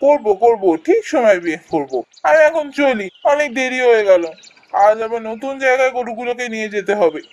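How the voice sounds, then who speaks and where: A man's voice: very high voice, expressive, a little fast. A man voicing a good-natured, worried young village cowherd in a cartoon, musing expressively with a Bengali accent. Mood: impatient. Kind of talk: cartoon voice acting, thinking aloud.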